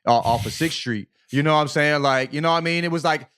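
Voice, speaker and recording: A man's voice: on the high side, fast talker, fairly bright tone, clean tone. A man co-hosting a podcast, chatting casually in an emphatic, slangy way, repeating himself and often checking that listeners follow. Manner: repetitive, emphatic, slangy, peppered with check-in tags. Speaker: podcast co-host. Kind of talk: casual opinionated podcast chat.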